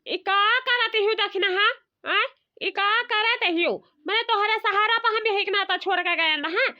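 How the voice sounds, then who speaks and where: A woman's voice: very high voice, normal speed, highs cut off. A woman voicing a bossy, aggrieved village matriarch who scolds at length in an Awadhi accent, in a comedy cartoon. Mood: indignant. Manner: indignant, long-winded scolding.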